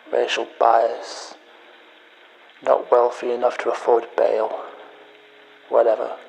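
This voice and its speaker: A man playing a bitter, betrayed man leaving a cold, accusing message in an audio drama, in a hushed, deliberate, taunting manner. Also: medium pitch, vocal fry, poor audio. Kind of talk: dramatic audio story monologue.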